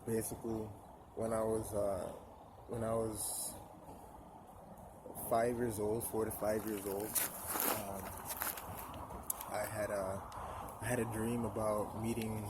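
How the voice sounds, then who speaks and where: Halting young man, low flat voice. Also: heavy background noise. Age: 20s. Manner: halting, full of pauses and restarts. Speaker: vlogger. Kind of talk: personal spiritual monologue to camera.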